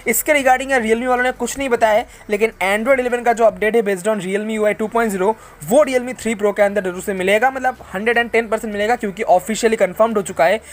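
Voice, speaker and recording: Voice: mid-range voice, fairly even intonation, brisk, hardly any pauses. An Indian tech channel host casually reads out and answers viewer questions, explaining things conversationally and mixing English tech terms into the talk. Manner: conversational, explanatory, addresses listeners as bro and friends.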